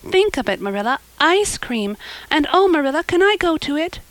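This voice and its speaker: A woman voicing a breathless, excitable and dreamy young girl in a storybook, chattering and gushing dramatically. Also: high voice, fairly quick, no echo, voice pushed up, low-quality recording. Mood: excited, pleading. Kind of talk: audiobook character acting.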